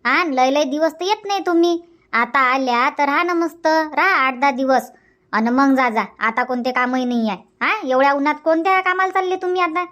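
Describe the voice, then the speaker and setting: Cartoon village aunt, acted by a woman: high voice, Varhadi Marathi accent, bossy and hospitable, nags by repeating herself. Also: moderate pace, a bit bright. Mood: coaxing. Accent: Varhadi Marathi from Vidarbha. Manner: insistent, repetitive, scolding. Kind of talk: Marathi cartoon comedy dialogue.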